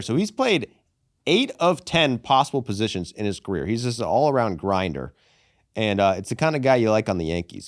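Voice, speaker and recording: A young man hosting a casual sports podcast, animated and profane, calling people "brother" and "dude". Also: medium pitch, dry recording, clean studio sound. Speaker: podcast host.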